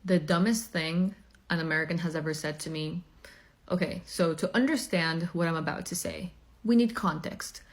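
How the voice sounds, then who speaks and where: A woman from Chile telling a story to camera in a deliberate, deadpan way. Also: fairly low pitch.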